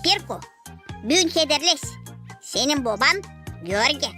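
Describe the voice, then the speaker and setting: A high, sharp, childlike voice for a small cartoon animal. It is chatty and cheeky, with faint music behind it. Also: female voice, expressive, a little slow, full character voice. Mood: curious, excited.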